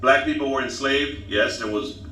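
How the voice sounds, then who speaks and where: Man, guest, emphatic preachy delivery, bright voice, roomy distant sound. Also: medium pitch, normal speed. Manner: emphatic, preachy, repeats "okay?". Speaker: podcast guest. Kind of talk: casual talk show chat.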